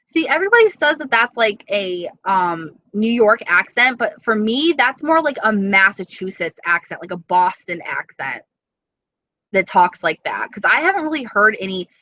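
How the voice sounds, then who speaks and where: A woman's voice: mid-range voice, very animated, moderate pace, sharp tone, dry recording, dull audio. A young woman with an East Coast accent chatting casually on a live stream, reading viewer comments and swearing freely. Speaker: beauty vlogger.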